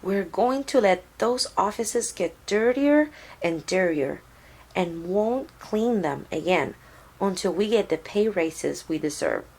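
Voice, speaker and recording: Storybook mama played by a woman reader: tender, earnest, hardworking, husky voice. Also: mid-range voice, expressive, takes it slowly, darkish tone, poor audio. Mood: earnest, weary. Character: tender, hardworking Mexican mother of a young boy.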